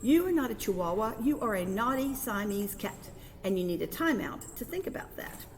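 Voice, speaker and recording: A woman's voice: medium pitch, expressive, moderate pace, in a large hall, slight background noise, voice pushed up, natural voice. A woman voicing a firm, persuasive mother cat dealing with her naughty kitten in a storybook. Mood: scolding.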